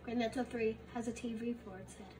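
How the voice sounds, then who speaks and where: A woman's voice: fairly high pitch, quick pace, distant mic, noisy background, close to an everyday voice. A woman voicing a boastful alien who speaks of itself in the third person, with a Russian accent. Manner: refers to self in third person, proud and deadpan. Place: bedroom with a computer.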